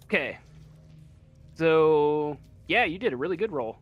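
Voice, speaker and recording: A man narrating as game master of a tabletop game, improvising in a hesitant, halting way. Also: fairly high pitch, expressive, narrow, tinny audio, soft background music.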